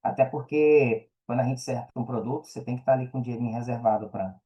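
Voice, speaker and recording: A man's voice: mid-range voice, fast, dark tone, low-quality recording. A man with a Brazilian accent speaking as a podcast guest, chatting informally and often checking that the listener follows. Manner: informal, peppered with check-in tags. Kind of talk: casual interview chat.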